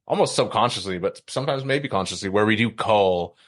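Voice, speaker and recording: Low-voiced man, slangy podcast co-host. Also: expressive, brisk, fairly bright tone. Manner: slangy, profane, joking exasperation. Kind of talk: casual chat.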